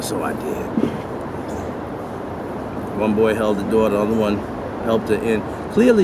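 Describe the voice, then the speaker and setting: A male actor playing an older, retired Harlem gardener, telling a folksy, reflective story as a conversational monologue. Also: fairly low pitch. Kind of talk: theatrical monologue told conversationally. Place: outdoors in a city community garden. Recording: loud outdoor city noise, traffic.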